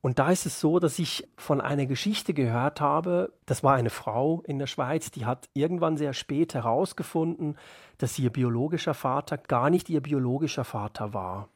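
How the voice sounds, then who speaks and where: A man's voice: medium pitch, moderate pace, no room sound, clean studio sound. A man being interviewed as an author, talking thoughtfully and explaining as he searches for his wording. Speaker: interviewed radio play author. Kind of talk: reflective literary interview talk.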